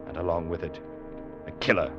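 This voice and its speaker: An actor playing an earnest young seaman who narrates his past in old radio drama style, with dramatic, deliberate pauses. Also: low voice, close to an everyday voice. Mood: brooding, dramatic. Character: young sailor Ned, earnest third mate, recounting his past. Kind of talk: mid-20th-century radio drama acting and narration. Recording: dull vintage radio recording, loud orchestral music.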